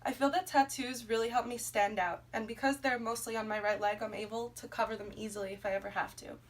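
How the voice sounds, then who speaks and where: A woman's voice: on the high side, steady tone, quick pace. Young New woman reading a presentation. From Brooklyn, New York. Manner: reads a prepared script steadily, listing items. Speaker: student presenting a class assignment. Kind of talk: scripted personal presentation. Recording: noisy, somewhat distant recording.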